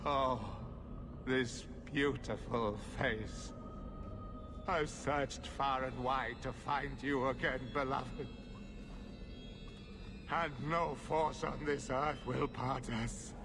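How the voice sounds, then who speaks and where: An actor playing a deranged, doting killer mage in a video game, savoring each phrase with reverence. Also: male voice, medium pitch, steady tone, very slow, pauses often, over music. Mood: rapt devotion. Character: obsessed deranged male killer mage, doting on his bride. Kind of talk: dramatic video game acting.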